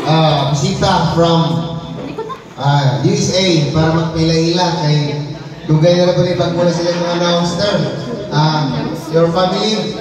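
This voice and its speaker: Man, high pitched, halting emcee, echoey hall far from mic. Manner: hesitant, mixing English with local speech. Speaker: event emcee. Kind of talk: casual emceeing.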